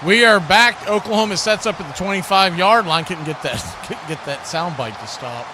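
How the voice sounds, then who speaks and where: A man's voice: sits high, moderate pace. A man doing live play-by-play commentary of a football game as the announcer. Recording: clearly noisy live stream audio.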